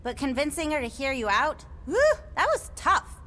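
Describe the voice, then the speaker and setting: A woman's voice: high-pitched, sing-song, normal speed, bright, forceful tone, slight background noise, full character voice. An actress playing a quirky, playful female alien mystic in a video game, with a whimsical, sing-song delivery. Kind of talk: video game cutscene acting.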